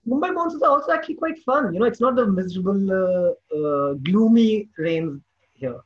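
Podcast host, male, notably high voice, casual chatty interviewing, somewhat lo-fi recording. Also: animated, moderate pace.

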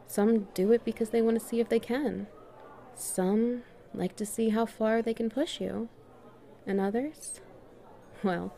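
A woman playing a confident, strict and subtly dominant female boss in a flirty audio roleplay, speaking in a measured, teasing way with deliberate pauses. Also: mid-range voice, mellow, natural voice. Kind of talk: flirty one-sided roleplay dialogue.

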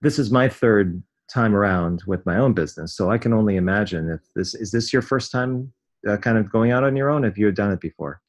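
A low-pitched man hosts an interview podcast. He puts his questions to the guest plainly and directly. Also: fairly quick. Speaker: podcast host.

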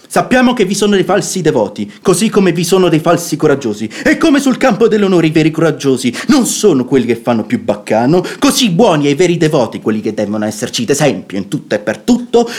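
Radio comedy: plain-speaking, reasonable brother-in-law, male, highish voice, very lively intonation, oratorical and emphatic. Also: fairly quick, few pauses. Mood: impassioned reasoning. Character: sensible, outspoken brother-in-law scorning false piety. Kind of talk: classical stage comedy radio acting.

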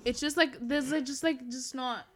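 A young woman in a casual chat, hesitant and soft-spoken, often trailing off. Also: high-pitched, steady tone, moderate pace, a crowd in the background.

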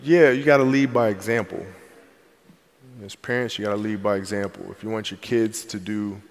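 A man answering an interview question in a plain, earnest, measured way. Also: on the low side, fairly even intonation, big-room sound. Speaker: interview guest.

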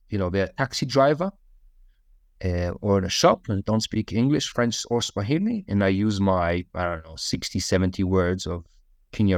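A man, a podcast guest, answering interview questions in a relaxed, storytelling way with frequent fillers. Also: fairly low pitch, no room sound, studio quality.

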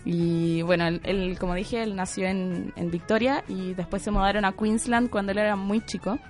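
A woman's voice: mid-range voice, steady tone, normal speed, faint music behind. Chatty woman host, laughing, rambling. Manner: rambling, many fillers, laughs often. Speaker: co-host presenting the segment. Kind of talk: casual podcast chat.

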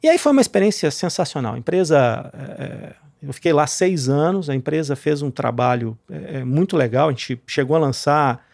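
A man's voice: fairly high pitch, expressive, moderate pace, creaky, darkish tone, no room sound, studio quality. A man from southern Brazil talking informally as an interview guest about himself, peppering his speech with casual conversational tags. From southern Brazil, Rio Grande do Sul. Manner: informal, punctuated with tags like "né, cara". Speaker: guest, real estate marketing executive. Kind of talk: conversational interview, telling career story.

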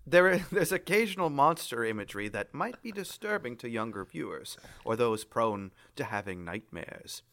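Droll man with lively, swinging pitch. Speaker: comedy podcast co-host. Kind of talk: reading reviews aloud, joking.

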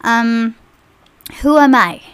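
A woman's voice: a little high, slow pace, smooth, no room sound. A young woman in her twenties from the Philippines with a Filipino accent, chatting on her own podcast with lots of ums and small laughs. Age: 20s. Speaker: podcast host. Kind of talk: casual solo podcast monologue.

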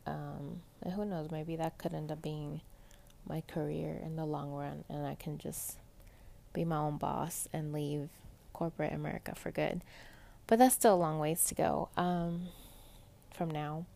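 A young woman talks in a soft, pleasant voice, rambling casually through her week with plenty of fillers. Her tone sits low and carries a gravelly fry. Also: fairly even intonation, unhurried. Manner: chatty, rambling, many fillers. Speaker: podcast host. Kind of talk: casual personal monologue.

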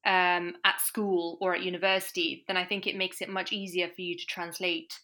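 A woman's voice: fairly low pitch, flat delivery, normal speed, pushed and bright. A woman guest in a casual interview, talking thoughtfully with many restarts. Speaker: language course provider, guest.

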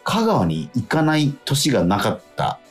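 A man's voice: medium pitch, wildly swooping pitch, a little slow. A man co-hosting a radio show, chatting casually and reading listener mail, with hesitant phrasing that often backtracks. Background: background music.

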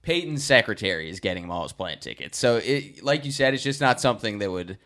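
A man's voice: lowish voice, expressive, fast, a bit bright. A man co-hosting a podcast in casual, conversational sports banter. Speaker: podcast co-host.

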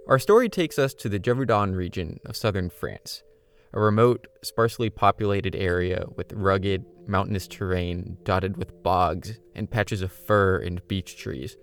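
A man hosting a podcast, reading a scripted story with a dramatic, suspenseful delivery. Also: fairly low pitch. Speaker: podcast host.

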